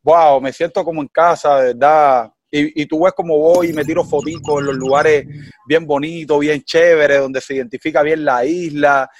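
A young Cuban man with a Cuban accent chatting as a guest in an interview, animated and full of fillers like "you know.". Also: on the high side, normal speed, a little hiss or hum. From Cuba. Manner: animated, heavy fillers like "tú sabes" and "¿me entiendes?". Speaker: guest singer. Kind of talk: casual interview chat.

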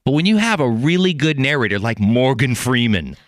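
A man's voice: mid-range voice, sing-song, fairly quick, no echo, clean studio sound. A man hosting a casual interview in an informal, rambling, encouraging way. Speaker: podcast host.

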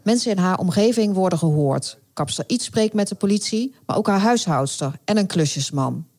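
A woman narrates a scripted true crime story in a dry, monotone delivery. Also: medium pitch, normal speed. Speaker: podcast narrator.

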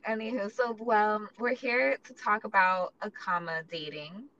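A woman's voice: mid-range voice, steady tone, a little slow, fairly bright tone, muffled recording, a little hiss or hum. Upbeat woman podcast host, slightly distant. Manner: upbeat, reads listener comments aloud. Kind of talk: casual podcast chat.

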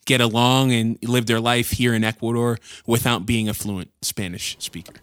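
A man's voice: medium pitch, fairly even intonation, normal speed, fairly bright tone, clean tone, dry recording. A man hosting a podcast, chatting easily, asking questions and affirming his guest. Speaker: podcast host. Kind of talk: casual interview chat. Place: Ecuador.

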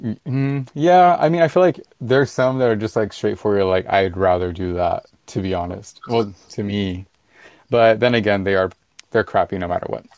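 A man co-hosting a casual podcast chat in a monotone way. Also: fairly low pitch, moderate pace. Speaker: podcast co-host.

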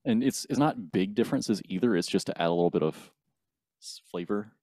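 A man in his twenties, a band's bassist, explaining the recording process methodically in a casual interview. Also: a little fast, warm tone, no room sound, studio quality. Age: 20s. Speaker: band bassist.

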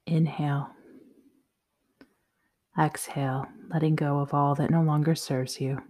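A woman guiding a yoga nidra meditation in a soothing, measured, softly spoken way. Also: sits low, level tone. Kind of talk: guided meditation, slow instructions.

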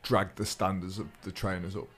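Low-voiced English man chatting casually. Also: flat delivery, brisk, fairly bright tone. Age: late 30s. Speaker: podcast host. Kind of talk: casual podcast chat.

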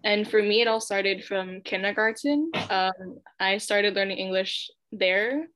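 A woman's voice: medium pitch, a little slow, a bit bright, rough recording. A young woman from Hong Kong, a native Cantonese speaker, chatting as a guest in a casual interview, laughing often and using many fillers. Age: young adult.

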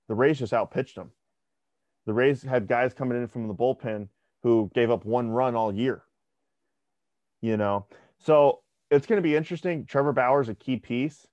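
A man's voice: mid-range voice, darkish tone, dry recording. A young man co-hosting a podcast, chatting casually about sports and often restarting his words. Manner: conversational, repeats words when restarting. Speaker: podcast co-host. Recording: dry, dull lo-fi sound.

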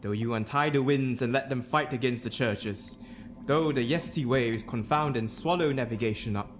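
A man's voice: mid-range voice, moderate pace, natural voice. A man acting a bold, demanding usurper lord, declaiming imperiously in a dramatic Shakespeare reading. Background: ominous music.

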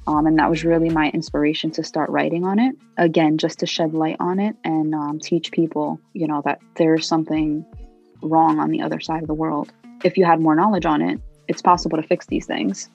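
Low, husky young woman, earnest. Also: flat delivery, fairly quick, darkish tone, dull audio, faint music behind. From United States. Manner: thoughtful, earnest, many "you know" fillers. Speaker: interviewee, poet. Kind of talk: interview answers.

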